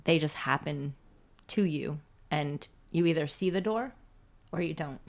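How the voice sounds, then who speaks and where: A woman in her forties hosting a podcast, speaking in a soft, reflective way with many pauses. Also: sits low. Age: 40s. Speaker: podcast host. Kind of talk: casual faith-based chat.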